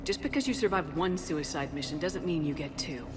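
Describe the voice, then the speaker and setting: An actress playing a resolute, caring military commander in a video game cutscene, speaking firmly and directly. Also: female voice, low voice, animated, brisk, noisy background. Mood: firm, commanding.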